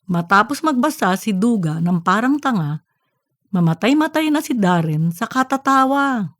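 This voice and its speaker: A woman's voice: fairly high pitch, sing-song, moderate pace, no echo, clean studio sound. A woman reading scripted third-person narration for a comic fiction audiobook.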